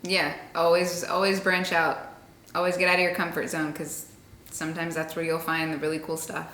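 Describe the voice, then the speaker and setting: A woman in her late twenties talks in a chatty, upbeat way, her voice bright and a little low-pitched. The conversation sounds like it was recorded in an echoey room. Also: little pitch movement, moderate pace. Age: 20s. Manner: chatty and upbeat, laughs readily. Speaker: interviewee, radio and social media personality. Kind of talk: casual conversation.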